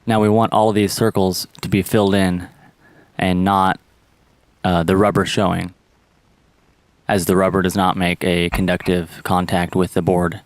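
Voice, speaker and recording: Low-voiced man giving repair instructions. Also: level tone, moderate pace, long pauses, dry recording. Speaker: how-to presenter. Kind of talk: step-by-step repair tutorial narration.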